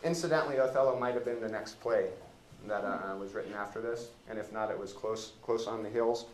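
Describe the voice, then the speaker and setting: A man teaching literature in an informal classroom lecture, with a halting, rambling and wry delivery. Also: lowish voice, slight background noise, low-quality recording.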